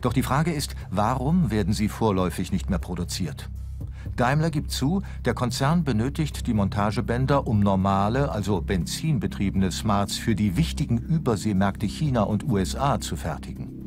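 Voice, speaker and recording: Low-voiced probing male narrator. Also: lively intonation, normal speed. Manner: questioning, probing. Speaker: documentary narrator. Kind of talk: scripted narration. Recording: voice-over over music.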